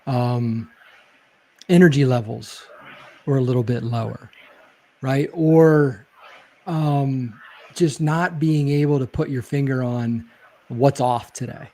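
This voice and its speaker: Man, interview guest, reflective storyteller, soft warm voice, hedges a lot. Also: mid-range voice, fairly even intonation, takes it slowly, pauses often. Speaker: guest, leadership speaker. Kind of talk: casual interview chat.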